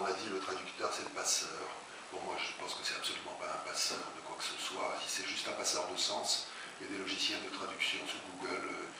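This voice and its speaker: Guy musing out loud in a big echoey hall, lowish voice, distant mic with hiss. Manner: halting, searching for words, many restarts. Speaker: translator and writer, interviewee. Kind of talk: public talk interview. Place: large echoey hall, before an audience.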